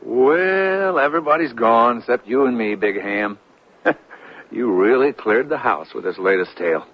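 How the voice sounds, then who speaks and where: Man acting a skeptical, teasing buddy, animated. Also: medium pitch, moderate pace, a little hiss or hum, lightly acted. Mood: scolding. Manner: teasing, sarcastic. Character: amused, skeptical buddy scolding a tall-tale teller. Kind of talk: 1970s radio drama acting. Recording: dull 1970s radio broadcast.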